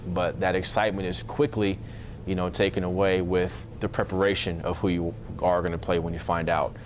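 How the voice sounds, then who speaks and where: A man in his thirties, a basketball coach, speaking in a measured, earnest way in an informal interview. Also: deep voice, slight background noise. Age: 30s.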